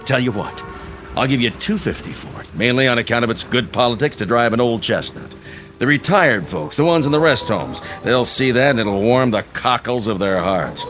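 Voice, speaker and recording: A man's voice: mid-range voice, lively intonation, normal speed, a bit bright, faint music behind, natural voice. An actor playing a pompous, folksy and shrewd veteran politician, an older man, in an old-time radio drama. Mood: shrewd bargaining. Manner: pompous, wheedling, theatrical.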